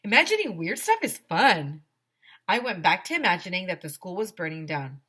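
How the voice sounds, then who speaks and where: A woman with a fairly low voice reads a children's chapter book aloud. She is middle-aged and stresses words theatrically, telling it as a schoolkid would. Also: moderate pace, breathy edge. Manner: expressive, theatrical emphasis. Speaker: teacher reading aloud to children. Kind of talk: animated children's story read-aloud in a schoolboy's first person. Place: small decorated room indoors. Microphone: headset microphone.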